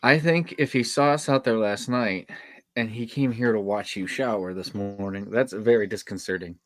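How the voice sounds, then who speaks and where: A man reading an awkward, brainy young male camp counselor in a live horror script read, halting but precise. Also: lowish voice, natural voice. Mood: uneasy.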